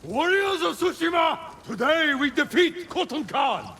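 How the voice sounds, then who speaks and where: A man acting a rousing samurai battle commander, shouting a declamatory speech in a game cutscene. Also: very high-pitched, very animated, measured pace, a little hiss or hum, exaggerated voice. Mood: rousing fury.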